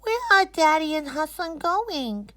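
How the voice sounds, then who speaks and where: A woman voicing an excitable little girl full of wonder in a children's storybook, exclaiming and drawing out her words. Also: high voice, unhurried, dry recording, pitched above the performer's own voice, heavily acted. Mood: wide-eyed wonder.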